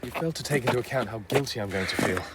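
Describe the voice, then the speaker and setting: An actor playing an earnest, modest young doctor in a naturalistic radio drama, hesitant and self-effacing. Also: male voice, medium pitch, very fast, heavy background noise, lightly acted. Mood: troubled, modest.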